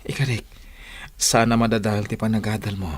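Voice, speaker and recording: A man's voice: on the low side, little pitch movement, brisk, a little hiss or hum, lightly acted. A man with a Filipino Ilocano accent playing an earnest young suitor and dutiful son in a radio drama. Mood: pleading.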